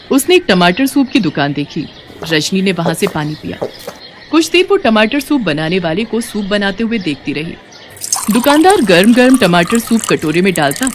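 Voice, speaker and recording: A woman's voice: medium pitch, slight background noise. A woman reading scripted story narration as the narrator.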